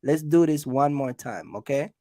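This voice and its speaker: A man's voice: fairly high pitch, normal speed, mellow, no room sound, studio quality. A man hosting a casual live quiz, teasing the players and repeating himself for emphasis. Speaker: host of a live quiz for his channel. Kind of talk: casual chat, game-show questioning.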